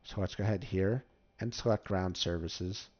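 Low-voiced man hosting a casual tutorial. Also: steady tone, moderate pace. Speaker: tutorial host. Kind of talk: casual game tutorial commentary. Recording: slightly dull, muffled microphone.

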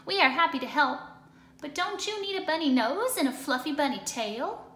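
A woman's voice: high voice, expressive, moderate pace, fairly bright tone, reverberant, pitched above the performer's own voice. A woman voicing a friendly, helpful storybook hen in a perky, sing-song way.

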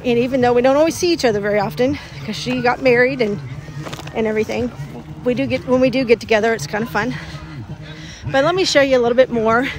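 A woman's voice: on the high side, fast, low-quality recording. A woman chatting casually to viewers as she walks around and films. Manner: chatty, conversational, talks to viewers. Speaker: vlogger. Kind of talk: casual walking tour commentary. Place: outdoor livestock auction grounds. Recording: outdoor crowd noise. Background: crowd chatter.

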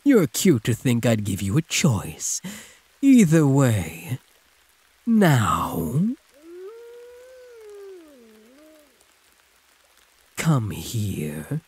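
A man voicing a huge, possessive, teasing werewolf prisoner with a husky, breathy drawl, in an intimate audio roleplay. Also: mid-range voice, wildly swooping pitch, extremely slow, a little mellow, a little hiss or hum, heavily acted. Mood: predatory, hungry. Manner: drawling, teasing pet names, predatory purr.

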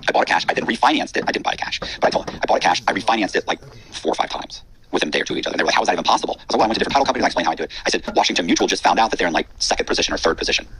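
Deep, very fast-talking storyteller man. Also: animated, a bit bright, breathy edge, poor audio. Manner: rapid-fire, acting out quoted dialogue.

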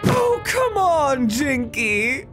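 A woman's voice: high-pitched, sing-song, very slow, over music, full character voice. A woman voicing a flippant male elf wizard in a comic dub, breezy and sarcastic. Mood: breezy coaxing. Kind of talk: comic dub character acting.

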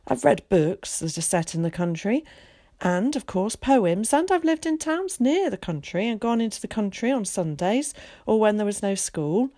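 A woman's voice: mid-range voice, sing-song, no room sound, studio quality, pitched above the performer's own voice. Woman voicing a chatty eager schoolboy. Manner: quick, bright, well-spoken chatter.

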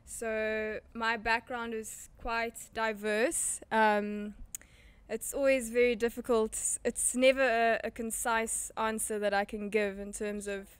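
A young woman from South Africa talks through her story in a chatty, rambling way, filling pauses with "um" and "like". Her voice sits a little high and sounds smooth and clear. She speaks into a handheld mic in a small indoor room. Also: little pitch movement. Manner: chatty, thinks aloud with many "um"s and "like"s. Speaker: interview guest. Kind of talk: casual conversation. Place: small indoor room with curtains, seated in armchairs. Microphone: handheld mic passed between speakers.

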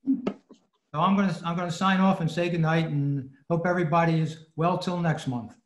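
A man talking casually and haltingly on a video call, explaining things with pauses mid-sentence. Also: on the high side, steady tone, a little hiss or hum. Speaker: club presenter. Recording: roomy, echoing video-call sound.

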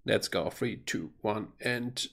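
A man's voice: lowish voice, slow, creaky, a bit bright. A man in his thirties from Germany with a German accent, giving casual reaction commentary as host. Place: small furnished room at home.

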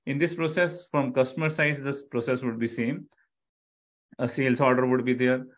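A man's voice: mid-range voice, steady tone, normal speed. Indian-accented man teaching a live online lecture. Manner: explanatory, repeats key phrases, checks with "okay" and "right". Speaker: online software instructor.

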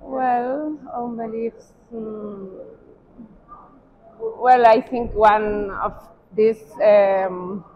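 A woman's voice: a little high, steady tone, extremely slow, pauses often. A middle-aged woman, not a native English speaker, chatting casually as an interview guest and searching for words. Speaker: interview guest, medical doctor. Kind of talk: casual chat. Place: large indoor hotel hallway and walkway, while walking. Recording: dull sound with clearly noisy ambience.

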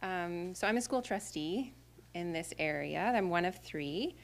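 A woman's voice: on the low side, animated, a little slow. A woman school trustee giving a prepared greeting speech at a podium in a school classroom, formal with small fillers. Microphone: podium microphone.

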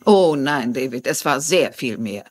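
An actress playing a shrewd, courteous mystery writer in a dubbed TV drama, articulate and measured. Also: female voice, a bass voice, lively intonation, exaggerated voice. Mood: firm, accusing. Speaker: actress in a German dub.

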